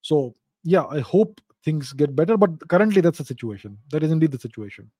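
Mellow higher-pitched Indian man explaining. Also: expressive, a little fast, husky, dry recording, clean studio sound. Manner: emphatic, repeats key phrases for stress. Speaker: host of a question-and-answer show. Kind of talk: unscripted explanatory commentary answering audience questions.

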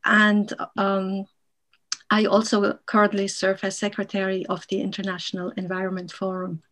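A woman's voice: medium pitch, monotone, moderate pace, a bit bright. A woman from Switzerland, a piano teacher, speaking measuredly as an interview guest over a video call, with frequent ums.

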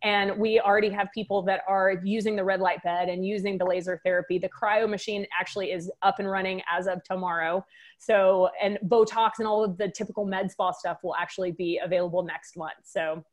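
A woman's voice: mid-range voice, steady tone, brisk. A woman from Texas with a Texan accent, a med spa owner answering interview questions in an upbeat way with many restarts. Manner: upbeat, laughs often, frequent restarts. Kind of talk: casual interview.

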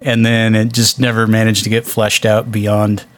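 A man's voice: lowish voice, completely flat delivery, moderate pace, dry recording. Casual, joking man in a dry recording. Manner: joking, conversational. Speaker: film discussion podcast host. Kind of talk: casual chat.

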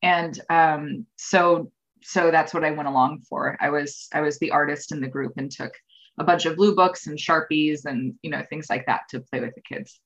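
A woman in her thirties talks as an interview guest, with a low voice. She rambles through her answers with frequent ums and false starts. The audio is dull and lo-fi. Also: steady tone, normal speed. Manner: rambling, with many ums and restarts. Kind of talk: casual interview conversation.

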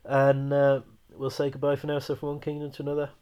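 Hoarse man with a cold, hosting. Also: medium pitch, quick pace, highs cut off. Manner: relaxed, stammers on restarts. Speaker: podcast host. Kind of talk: casual sports podcast chat.

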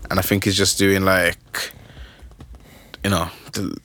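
A young man with a low voice talks music on a podcast. He rambles and restarts with lively energy. The sound is dry and close, with no room around it. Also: no room sound. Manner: rambling, animated, quick restarts. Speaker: podcast host. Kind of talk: casual music chat.

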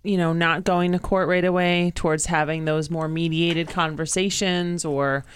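Low-voiced woman radio host. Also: steady tone, moderate pace, clean tone, no echo. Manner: encouraging, affirming. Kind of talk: radio interview hosting.

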